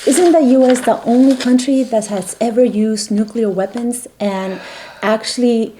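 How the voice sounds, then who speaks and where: There is background noise around a mellow, soft-edged junior writer acted by a woman. The character is tentative, questioning and compliant, in a satirical newsroom sketch. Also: a little high, normal speed, natural voice, rough recording. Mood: doubtful.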